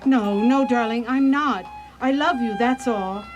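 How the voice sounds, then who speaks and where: A woman's voice: on the high side, unhurried, dull audio, over music, close to an everyday voice. A woman playing an anxious, devoted young wife in a radio play, genteel and pleading. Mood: soothing. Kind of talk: radio theatre acting.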